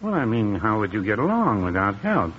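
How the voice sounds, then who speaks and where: Folksy deadpan american druggist, older, swoopy low voice, muffled hissy radio show. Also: male voice, brisk, husky. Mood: gently skeptical. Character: mild, droll small-town druggist, henpecked older man. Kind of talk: old-time radio comedy acting. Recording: muffled old radio recording, background hiss.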